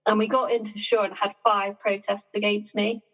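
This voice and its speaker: A woman being interviewed on a sailing podcast, talking in a measured, self-deprecating way and laughing often. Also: mid-range voice, flat delivery, fairly bright tone. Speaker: sailing guest. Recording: dull lo-fi sound.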